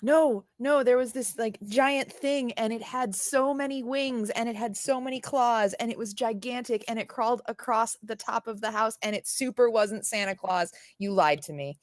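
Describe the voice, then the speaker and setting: A woman's voice: a little high, normal speed, hardly any pauses, no room sound, close to an everyday voice. Woman as scared, stubborn little child in a tabletop game, emphatic run-on pleading, dry sound. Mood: indignant, pleading. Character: frightened, insistent little child pleading with mother.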